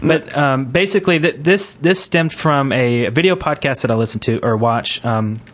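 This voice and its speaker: A man hosting a casual gaming podcast, talking in a halting, rambling way. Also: mid-range voice, fairly bright tone. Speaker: podcast host.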